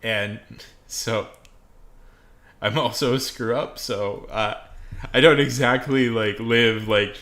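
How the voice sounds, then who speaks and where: A man in his thirties chatting casually on a livestream in a rambling, excitable, self-deprecating way. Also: mid-range voice, slow, long pauses, echoey room. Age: 30s. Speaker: livestream host.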